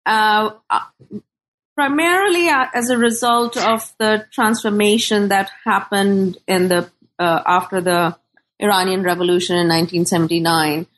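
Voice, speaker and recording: A middle-aged woman from Pakistan speaking as a scholar in an interview, thoughtful and deliberate, often pausing to search for words. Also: medium pitch, fairly even intonation, a bit bright, a little hiss or hum. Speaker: scholar and author being interviewed. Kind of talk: thoughtful academic interview talk.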